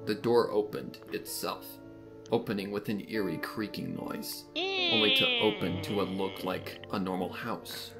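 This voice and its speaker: A man's voice: lowish voice, huge pitch swings, measured pace, creaky. A man reading fan fiction aloud as a dramatic, scripted story narration. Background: background music.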